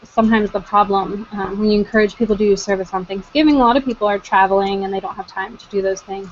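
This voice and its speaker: A woman runs a casual online meeting, giving updates in an easy, halting way full of ums and uhs. Her voice sits at a middle pitch. The sound is dull and lo-fi, with a faint hiss behind it. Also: fairly even intonation. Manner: hesitant, many ums and uhs. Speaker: network coordinator, meeting host. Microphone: video call. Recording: dull lo-fi video call audio.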